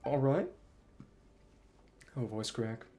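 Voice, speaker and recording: A woman's voice: a bass voice, animated, extremely slow, warm tone, a little hiss or hum. A woman streaming a video game, reading the story text aloud and casually thinking through it as she goes.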